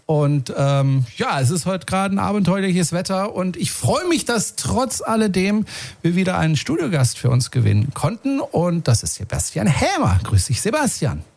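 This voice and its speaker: Man, podcast host, high voice, very lively pitch, chatty, fillers. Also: moderate pace, creaky. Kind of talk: casual podcast chat.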